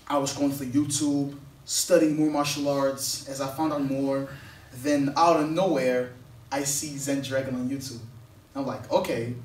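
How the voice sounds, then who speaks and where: A man's voice: mid-range voice, a little hiss or hum. A young man from Portugal, an English speaker telling his life story to an audience on stage in an earnest, rambling way. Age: young adult. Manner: earnest, rambling, with many fillers and restarts. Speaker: guest speaker. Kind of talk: personal story told to an audience. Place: on stage in a hall. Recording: echoey room, distant mic.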